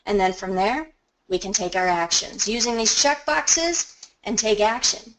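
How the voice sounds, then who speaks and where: A woman presenting a software training webinar, explaining each step in a conversational, instructional way and stressing key words. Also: mid-range voice, unhurried, low-quality recording. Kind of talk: instructional screen-share walkthrough.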